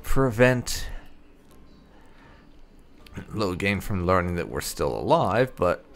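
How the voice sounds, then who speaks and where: A man giving casual commentary on a game playthrough, halting as he thinks aloud. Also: low-pitched, big pitch swings. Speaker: gaming video host. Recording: faint game music behind.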